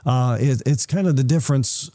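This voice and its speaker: Mellow-voiced man discussing the Bible. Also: mid-range voice, moderate pace. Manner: reads scripture aloud in King James wording.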